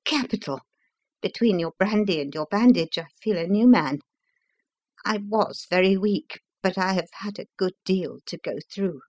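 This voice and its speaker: A woman voicing a polite, plucky and excitable injured young male engineer in a storybook reading. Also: mid-range voice, moderate pace, dry recording, natural voice. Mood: relieved, brisk.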